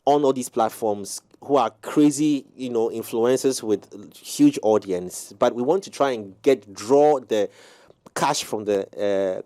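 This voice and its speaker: Male co-host, mid-pitched; winding, self-correcting questions. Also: mellow. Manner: long rambling questions, restarts. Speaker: talk show co-host. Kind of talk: panel talk show discussion.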